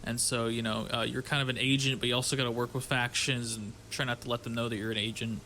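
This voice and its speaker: A man's voice: medium pitch, little pitch movement, fast talker, bright, forceful tone, a little hiss or hum. A man co-hosting a podcast, talking casually and slangily, with some swearing. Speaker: podcast co-host.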